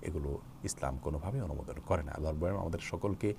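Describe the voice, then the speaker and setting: A man speaking as an Islamic scholar, answering religious questions in a measured, formal, explanatory way with hesitant pauses. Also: rumbling low, vocal fry, warm tone. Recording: noticeable background noise.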